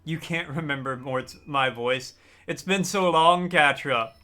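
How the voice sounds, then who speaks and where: A man live-streaming a game, chatting casually with his viewers in a deliberately raised, customer-service-style register. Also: fairly high pitch, sharp tone, soft background music. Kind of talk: casual live-stream chat while gaming.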